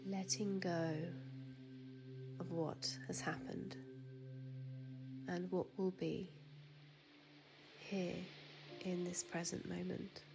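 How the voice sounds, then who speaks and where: Slow, soft, soothing woman guiding sleep meditation. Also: on the low side, level tone, creaky, background noise. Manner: soothing, hushed, with drawn-out pauses. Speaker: meditation guide. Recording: piano music and waves behind. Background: relaxing piano music and ocean waves.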